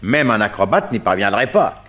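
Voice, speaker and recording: A skeptical, lowish-voiced, animated coroner. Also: male voice, normal speed, a little hiss or hum. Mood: puzzled. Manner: formal, doubtful, questioning. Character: skeptical, formal male coroner, easily astonished. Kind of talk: French radio mystery play acting.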